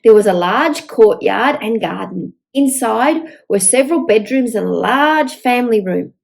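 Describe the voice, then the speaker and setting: An older woman reading a picture book aloud to young children in an expressive storytelling manner. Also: a little high, unhurried, gravelly. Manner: expressive, with exaggerated dialogue.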